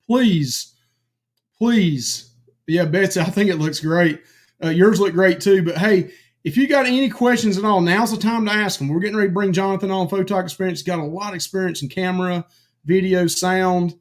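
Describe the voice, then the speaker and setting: A man co-hosting a live stream in casual chat, greeting viewers by name with lots of fillers while he is losing his voice. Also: sits high. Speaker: livestream co-host.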